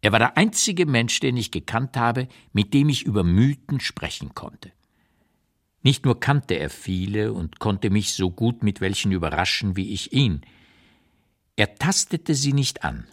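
Old writer guy reading his memoirs, lowish voice, really lively melody, weighty and deliberate, radio play. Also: full character voice. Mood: reflective, emphatic. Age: older. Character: aged male writer recalling a dead friend, unsparing, reverent. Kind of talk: literary reading, dramatized memoir monologue.

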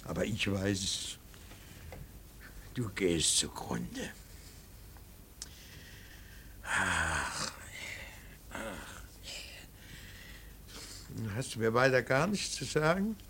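Brooding middle-aged father, radio drama, slow halting speech, bright forceful voice, reproachful. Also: lowish voice, sing-song, a little hiss or hum, full character voice. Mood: desperate pleading. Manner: deliberate, halting, emphatic, swinging from muttered to pleading. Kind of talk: German radio drama acting.

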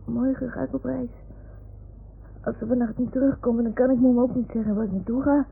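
A woman playing a wistful, stubborn little boy in a radio play, speaking with childlike earnestness and a creaky edge. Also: a little high, fairly even intonation, a little fast. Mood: anxious, stubborn. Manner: childlike, earnest, naive storytelling. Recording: tinny, faintly noisy 1960s-70s radio recording.

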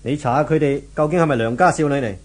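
Brief: male actor for authoritative police inspector, husky voice, Hong Kong Cantonese accent, probing and firm, vintage radio play. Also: on the high side, fast, lightly acted. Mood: stern, probing.

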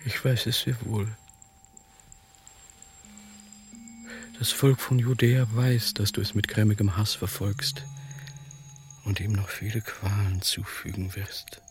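An actor playing the high priest Kaiphas, stern and defiant, who speaks in a measured, dignified way in a radio drama. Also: male voice, steady tone, natural voice. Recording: radio play with music underneath.

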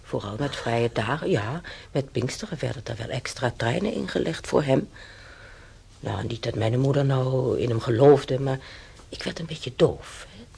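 A man's voice: mid-range voice, normal speed, vocal fry, darkish tone, husky. An actor in a radio play voicing a chatty, stubborn old German-born man who rambles through his memories with hesitations. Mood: musing, chatty. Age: older. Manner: rambling, reminiscing, with hesitations and tag questions. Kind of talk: conversational radio play acting.

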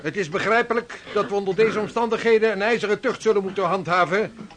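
A stern, formal officer of an old sailing expedition in a radio play. The voice is distinctly high and declaims with authority. Faint noise lies behind it. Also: male voice, quick pace, fairly bright tone. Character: severe, formal ship's officer and expedition leader. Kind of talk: radio drama acting.

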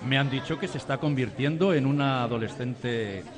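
An actor playing a hearty, flirtatious astronaut in a Spanish radio drama. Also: male voice, medium pitch, normal speed, close to an everyday voice. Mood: warm. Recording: party crowd behind.

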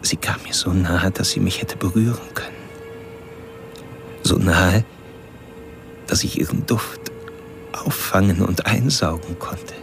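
An obsessive killer telling his own story in a crime radio play. The voice is very low and gravelly, with music behind it. He speaks deliberately, in literary, confessional phrases. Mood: obsessed, unsettled. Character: obsessive, self-aware male killer narrating his stalking. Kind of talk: first-person crime radio drama narration.

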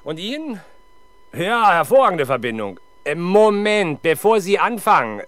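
An actor playing a world-weary, dutiful police inspector in a 1970s German radio crime drama, formal and halting in manner. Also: male voice, high-pitched, big pitch swings, a bit bright, dry recording, heavily acted. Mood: hearty, interrupting. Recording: 1970s radio drama, clear background noise.

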